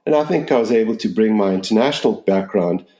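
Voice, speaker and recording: A man's voice: fairly low pitch, animated, fast talker, dark tone. Southern African man in his sixties, roomy sound. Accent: mixed Southern African. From Zimbabwe. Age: 60s. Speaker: business school dean, interview guest. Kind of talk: conversational interview answers.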